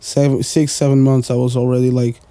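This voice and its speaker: A man's voice: medium pitch, flat delivery, a little fast, dark tone, no room sound. A man, chatting casually as a podcast guest, rambling with lots of fillers and the odd swear word. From Portugal. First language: Portuguese. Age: 20s. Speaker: guest, music producer.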